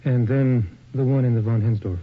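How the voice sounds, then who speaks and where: A man's voice: medium pitch, normal speed, lightly acted. Muffled, casual acted male studio assistant. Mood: easygoing. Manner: casual, slangy. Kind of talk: 1980s radio drama acting. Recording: muffled, faintly noisy old radio recording.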